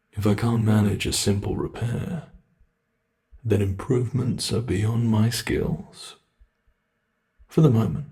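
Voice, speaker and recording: A British man voicing a sadistic male monster who reshapes his captive prey, in an ASMR horror roleplay, speaking slowly and calmly with a gloating tone that sinks to whispers, close to the mic. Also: lowish voice, vocal fry. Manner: slow, calm, gloating, drops to whispers.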